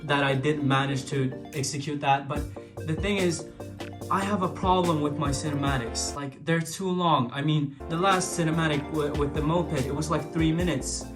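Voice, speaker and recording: A man's voice: on the high side, normal speed. A man from Sweden speaking English with a Swedish accent, musing in a casual vlog with frequent restarts and filler words. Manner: hesitant, many restarts and filler likes. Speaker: vlogger. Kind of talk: reflective casual monologue. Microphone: built-in camera mic after external mic died. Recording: talk over clear background music.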